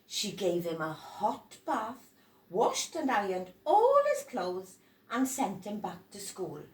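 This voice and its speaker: A woman reading a children's storybook aloud in a dramatic, animated way, stressing key words. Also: medium pitch, takes it slowly. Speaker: storybook reader. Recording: roomy echo, distant from microphone.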